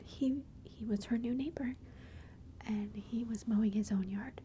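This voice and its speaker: A woman telling a personal story in a soft, chatty whisper, ASMR style. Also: fairly high pitch, fairly even intonation, unhurried, slight background noise. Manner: soft unvoiced whisper throughout; chatty, conversational asides.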